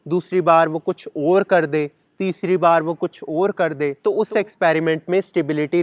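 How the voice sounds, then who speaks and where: A man's voice: fairly high pitch, dry recording. A man, giving a sociology lecture and slipping English terms into his while repeating key words. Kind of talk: explanatory lecture. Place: outdoors in a garden.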